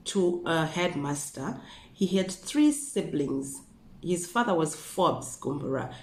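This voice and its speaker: A woman in her thirties with a Zimbabwean accent, hoarse from a flu, telling a true crime story in a chatty way with asides and rhetorical questions, filmed indoors at a desk. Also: deep voice. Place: small room indoors. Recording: echoey room.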